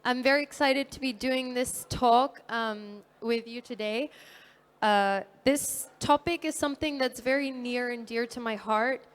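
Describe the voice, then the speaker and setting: A woman's voice: fairly high pitch, unhurried. A fifteen-year-old girl from New Caledonia giving an earnest prepared talk at a dive expo, with fillers and small self-corrections. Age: teenager, fifteen. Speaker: youth speaker at a dive expo.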